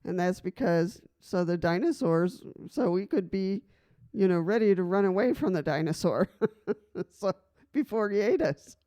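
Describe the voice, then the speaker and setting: A woman's voice: mid-range voice, expressive, normal speed, mellow. A middle-aged woman from Costa Rica talking casually to camera in a personal vlog, chatty and rambling, with many fillers and frequent laughs. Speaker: vlogger.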